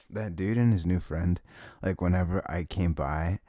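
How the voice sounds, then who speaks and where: Low, husky, creaky young-sounding ASMR man. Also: dark tone, dry recording, clean studio sound. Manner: rambling, halting, informal and sweary; sniffles habitually. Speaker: ASMR audio performer. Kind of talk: intimate rambling ASMR chat, reminiscing to a listener.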